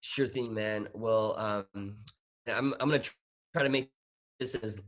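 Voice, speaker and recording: A man's voice: on the low side, fairly even intonation, unhurried, fairly bright tone. A man in his thirties who grew up in Thailand, chatting casually as an interview guest, laughing often and restarting his sentences. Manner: laughing, self-deprecating, rambling with restarts.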